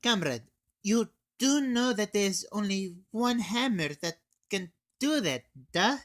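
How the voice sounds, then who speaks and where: A man's voice: high voice, lively intonation, takes it slowly, voice pushed up. A man voicing a brash, teasing soldier in a scripted comic video review. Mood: smug teasing. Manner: needling, punctuates talk with "comrade" and "duh".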